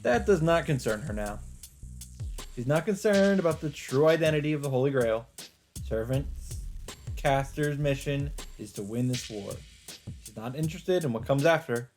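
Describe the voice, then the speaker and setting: A man's voice: medium pitch, expressive, moderate pace, a little mellow. A man hosting a let's play, reading visual novel narration aloud and adding his own commentary. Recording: game music behind the voice.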